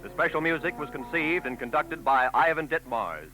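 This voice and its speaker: A man announcing credits and commercials on a 1940s network radio broadcast in a crisp, formal delivery. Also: a little high, expressive, normal speed, rough recording. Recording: old radio broadcast with faint music.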